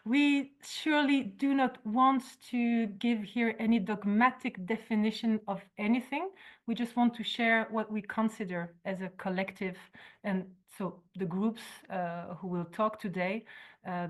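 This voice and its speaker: A woman, speaking over a video call at a mid pitch. She explains things in a calm, measured way as an organizer of an online forum. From Belgium.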